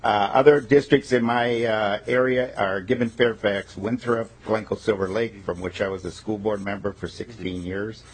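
A man's voice: on the low side, fairly even intonation, moderate pace, hardly any pauses, a little hiss or hum, rough recording. A male state legislator introducing himself at a committee meeting, folksy and emphatic with joking asides.

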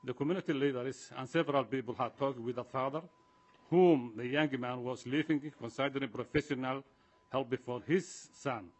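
A man giving prepared public testimony in a formal, halting way. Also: mid-range voice. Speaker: community association representative giving testimony.

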